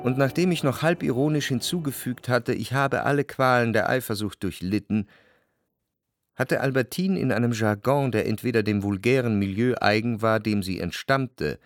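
An actor playing an introspective, jealous lover who narrates his memories in a measured, literary radio drama style. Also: medium pitch, no room sound, soft background music, close to an everyday voice. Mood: reflective, brooding. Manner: reflective, measured literary delivery in long sentences.

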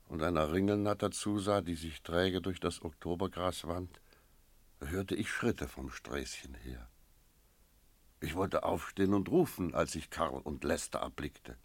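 An actor playing a sober village innkeeper who tells an eerie tale in a measured, reflective radio drama style. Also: male voice, sits low, dry recording. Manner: measured, reflective, literary storytelling. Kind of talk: radio drama narration and dialogue.

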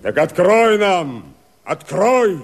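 An actor playing a wary, suspicious rebel in a camp, speaking deliberate theatrical verse in a radio drama. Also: male voice, high voice, big pitch swings, crowd noise, heavily acted. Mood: urgent, demanding.

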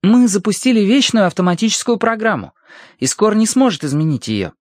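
A man's voice: sits high, big pitch swings, brisk, darkish tone, no room sound, studio quality, pitched above the performer's own voice. A man voicing a confident, clever boy with a matter-of-fact manner in a children's space adventure audiobook. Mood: explaining.

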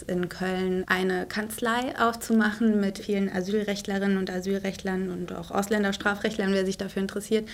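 A woman in her twenties, a law student volunteer, speaking earnestly in long flowing sentences in an interview. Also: mid-range voice. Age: 20s. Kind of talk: interview statement.

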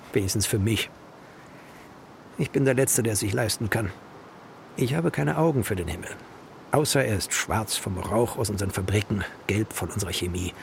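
A man's voice: on the low side, animated, moderate pace, vocal fry, long pauses, a little hiss or hum. A man playing a sombre, self-denying party official in love, in a radio drama. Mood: resigned tenderness.

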